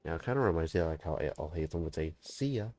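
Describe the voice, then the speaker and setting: A streamer making laughing, joking asides over gameplay. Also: female voice, a bass voice, lively intonation, very fast, dark tone. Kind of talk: casual reactions over gameplay.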